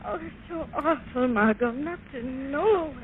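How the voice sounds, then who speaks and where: A woman's voice: sits high, animated, measured pace. A woman playing a weary, distraught mother in 1940s radio melodrama style, plaintive and full of emotion. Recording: tinny, noisy old-time radio recording.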